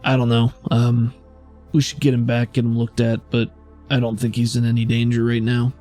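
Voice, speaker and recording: A man's voice: medium pitch, completely flat delivery, moderate pace, mellow, faint music behind, natural voice. A man playing a practical, slightly unsure regulator in a tabletop game, speaking casually and hesitantly. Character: matter-of-fact law regulator, unhurried, mildly unsure.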